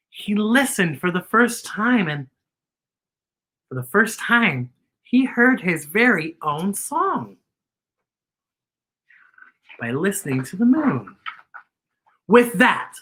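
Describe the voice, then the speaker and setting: A woman hosting children's story time, reading a picture book expressively and deliberately explaining it to young listeners. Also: mid-range voice, bright, forceful tone, husky.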